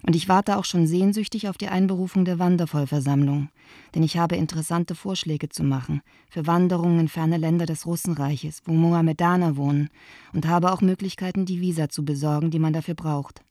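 Actress plays wistful, wry woman letter writer in radio drama; mellow tone, close dry sound. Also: on the low side, steady tone, a little fast, no room sound, lightly acted. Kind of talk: radio play letter monologue.

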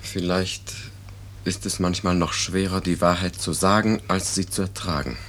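Ein Schauspieler spielt in einem Hörspiel der fünfziger Jahre einen beschwichtigenden, ausweichenden Ehemann, der zögernd spricht. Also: male voice, low-pitched, steady tone, normal speed, fairly bright tone. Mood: evasive, subdued. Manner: reassuring, hesitant. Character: evasive, soothing husband of a sick woman. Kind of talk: 1950s German radio drama acting. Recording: noisy early 1950s radio recording.

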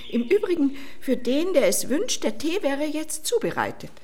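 A woman's voice: high voice, big pitch swings, normal speed, a little mellow. A woman playing a prim, proper, motherly salon lady in a German radio play, mannered and admonishing.

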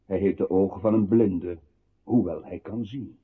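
An actor playing a cool, pointed interrogator who analyses and taunts a captive in a radio play. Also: male voice, low-pitched, normal speed, breathy edge. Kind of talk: radio play, second-person narration. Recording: tinny, narrow old radio recording with hiss.

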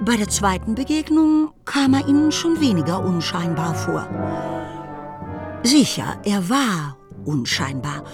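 A woman narrating a German literary radio play from a script, in a wry, expressive manner. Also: mid-range voice, a little slow. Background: music.

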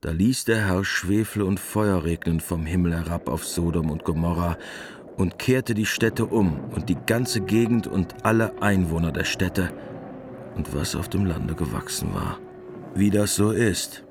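War veteran writer, very deep voice, reflective narrator, wry, understated, radio drama, slight background hum. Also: male voice, measured pace. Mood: resigned, measured. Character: war veteran novelist, wry reflective family man narrating his past. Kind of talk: literary first-person radio drama narration.

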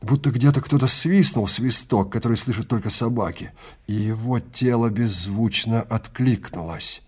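A man narrating a science fiction audio drama theatrically, in deliberate short phrases with weighty pauses. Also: medium pitch, husky. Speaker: narrator and actor.

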